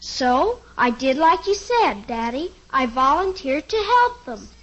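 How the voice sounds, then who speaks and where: A woman's voice: sits high, big pitch swings, measured pace, fairly bright tone, heavily acted. A woman playing an eager young girl on a 1950s radio sitcom, with a chirpy, pleading manner. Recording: dull lo-fi old radio transcription.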